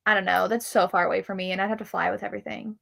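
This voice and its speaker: Bright woman with a gravelly edge, gushing. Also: mid-range voice, steady tone, very fast. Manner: enthusiastic fan chatter, laughs easily. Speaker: co-host of a book readalong live show. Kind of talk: casual chat between friends.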